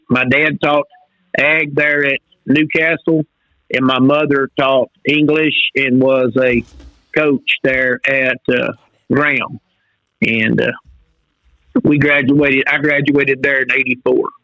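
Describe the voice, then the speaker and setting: A Texan man in his fifties, a college rodeo coach, telling stories in an easygoing drawl with many pauses. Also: mid-range voice, level tone, dry recording, highs cut off. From North Texas. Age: 50s. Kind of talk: casual podcast interview.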